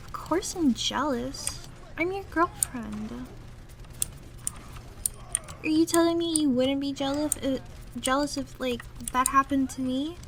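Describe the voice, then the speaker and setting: A woman's voice: high voice, slow, pauses often, full character voice. A woman playing a jealous, pouty young gamer girlfriend in a casual, half-improvised roleplay audio. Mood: jealous, upset. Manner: pouty, grumbling, irritated. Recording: noisy room, clattering computer keyboard. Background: loud keyboard typing and background noise.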